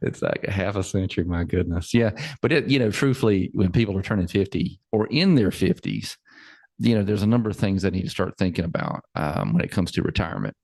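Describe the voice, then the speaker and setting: A man in his forties with a very low, gravelly voice, talking easily as a financial planner on a podcast. He repeats words and laughs easily, and the recording is close and dry. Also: a little fast, darkish tone, no echo. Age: 40s. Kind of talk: casual podcast chat.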